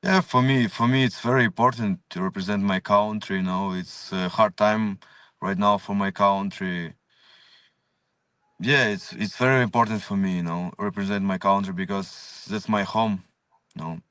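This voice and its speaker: A man's voice: on the low side, moderate pace, a bit bright, no echo, recorded from a distance. A young man from Ukraine, a fighter, answering interview questions in full of fillers, plain and determined. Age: young adult. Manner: non-native English, many fillers, plain and determined.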